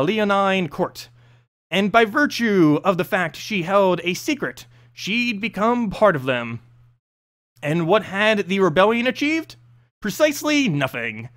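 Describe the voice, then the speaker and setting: High-pitched, animated male story reader. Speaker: host reading game lore story aloud. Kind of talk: narrated story reading.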